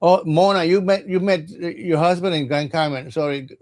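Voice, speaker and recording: A man from Cuba, reading tarot cards in a halting, casual livestream chat and often repeating his words. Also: on the high side, fairly even intonation, darkish tone. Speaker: tarot reader.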